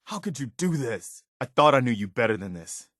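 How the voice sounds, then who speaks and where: A man's voice: mid-range voice, big pitch swings, brisk, fairly bright tone, no echo. A principled, battle-weary militia soldier from a video game. He is earnest and direct, with clipped military phrasing and a loyal streak. The sound is very dry, with no room around the voice. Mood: hurt, disappointed. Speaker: video game companion character. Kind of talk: scripted game dialogue and combat barks.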